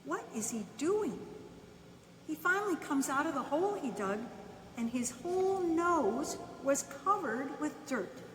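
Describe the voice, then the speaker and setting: A woman reading a children's bedtime story aloud in the first person, unhurried, pausing between short phrases. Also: sits high, big pitch swings, fairly bright tone. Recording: echoey reverberant room, distant mic, faint noise.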